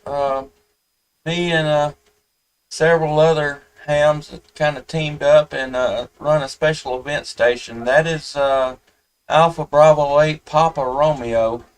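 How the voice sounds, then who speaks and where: A man with a Southern US accent chatting casually as a ham radio operator, in a folksy, halting way. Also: fairly high pitch, clear, resonant tone, recorded from a distance, rough recording. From Tennessee, United States. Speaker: amateur radio operator, live streamer.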